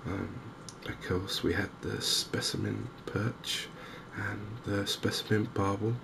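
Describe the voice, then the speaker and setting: A man giving softly spoken ASMR commentary on a game, hushed, hesitant and unhurried, close to the microphone. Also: lowish voice, level tone. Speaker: gaming video host. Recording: clear background noise.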